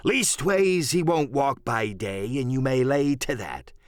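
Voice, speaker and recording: A man's voice: mid-range voice, animated, unhurried, no room sound, clean studio sound, natural voice. A man voicing a wily, commanding pirate ship's cook in an audiobook, with a salty, theatrical sailor's drawl. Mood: commanding, dismissive. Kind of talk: audiobook character acting.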